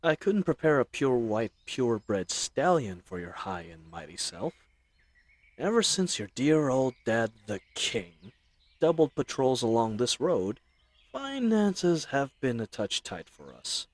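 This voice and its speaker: A man's voice: medium pitch, big pitch swings, a little slow. A man playing a chatty, roguish bandit leader in an audio roleplay, with folksy slang and teasing mock courtesy. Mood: mocking, breezy. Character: roguish, chatty, friendly male bandit leader, mockingly polite. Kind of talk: scripted audio roleplay addressed to the listener.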